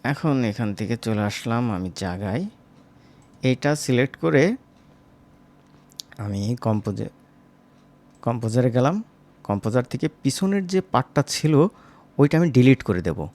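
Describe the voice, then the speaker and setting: A man teaches software in a casual, informal way, speaking directly to viewers as a tutor. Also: mid-range voice, expressive, a little slow, long pauses. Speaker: animation tutor.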